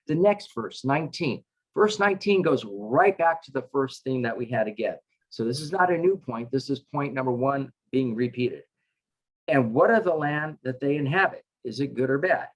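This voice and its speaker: A middle-aged man, a chaplain, teaching a casual scripture class over a video call, halting with lots of filler sounds and dropping wry asides. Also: medium pitch, lively intonation, low-quality recording. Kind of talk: casual online scripture lesson. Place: home with dogs in another room.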